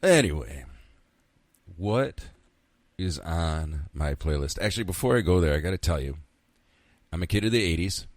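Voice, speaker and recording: A deep-voiced man in his fifties hosts a solo podcast, rambling loosely from thought to thought, now and then cursing. His voice is close and dry, with no room sound around it. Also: lively intonation, moderate pace, long pauses, no room sound. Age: 50s. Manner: rambling, self-talking, frequent swearing. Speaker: podcast host. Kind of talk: casual solo podcast monologue.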